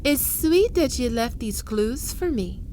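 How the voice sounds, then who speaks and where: A woman voicing a sly, predatory spider-woman who taunts her trapped prey in slow, breathy whispers for an ASMR roleplay. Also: a little high, very animated, mellow, slight background noise, voice pushed up. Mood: taunting. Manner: taunting, teasing questions.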